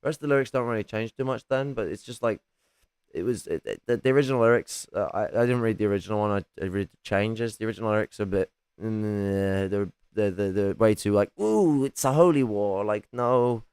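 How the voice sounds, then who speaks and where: Raspy man, very low voice, rambling livestream chat, dry close sound. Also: brisk, darkish tone, dry recording. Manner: rambling, many restarts, swears casually. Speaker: streamer.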